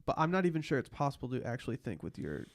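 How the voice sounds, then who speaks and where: A man's voice: mid-range voice, big pitch swings, very fast. A man hosting a podcast, chatting casually and jokingly as he recaps a TV show. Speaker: podcast host.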